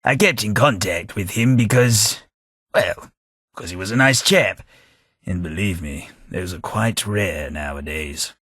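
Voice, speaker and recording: A man with a British accent voicing a centuries-old, courteous gentleman companion in a video game, formal and dryly wry. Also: low voice, a little slow, no echo, clean studio sound. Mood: wistful.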